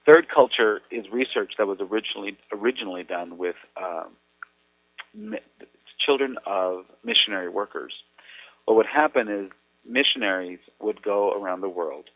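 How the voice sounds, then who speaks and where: A Mexican American man, an educator, explaining things earnestly in a measured way with thoughtful pauses. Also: on the low side, expressive, dry recording. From United States. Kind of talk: explanatory talk on radio. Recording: tinny phone-like radio audio.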